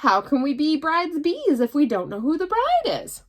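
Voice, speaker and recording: A woman voicing excitable bees that speak as one in a picture book, pleading and demanding. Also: sits high, moderate pace, slight background noise, pitched above the performer's own voice. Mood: puzzled.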